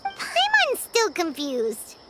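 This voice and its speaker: A woman voicing a small, childlike, excitable fairy companion in an anime-style video game, with music behind her. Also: extremely high pitch, slow, very noisy, exaggerated voice. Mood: confused. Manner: refers to herself in third person. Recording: loud music under the voice.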